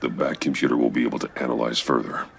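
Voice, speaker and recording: Grim masked vigilante game voice, super deep n gravelly, terse and stern. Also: male voice, fairly even intonation, fast. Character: brooding masked male vigilante detective, stern and distrustful. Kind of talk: video game voice acting. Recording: dramatic music behind.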